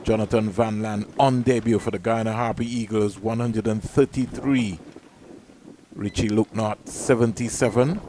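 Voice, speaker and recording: A man giving measured live cricket commentary, reading out scores and ball-by-ball play. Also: fairly low pitch, steady tone, mellow, slight background noise.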